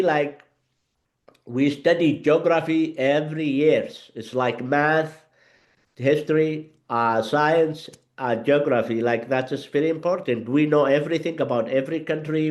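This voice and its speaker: A man from Yemen, a guest speaker whose first language is Arabic, talking over a video call in halting English full of fillers and restarts. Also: mid-range voice, a little mellow, muffled recording.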